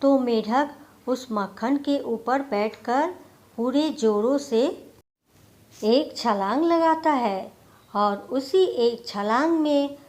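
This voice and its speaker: A woman tells a scripted moral story as its narrator. Her voice sits a little high, and she takes the lines slowly, as a storyteller does. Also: darkish tone.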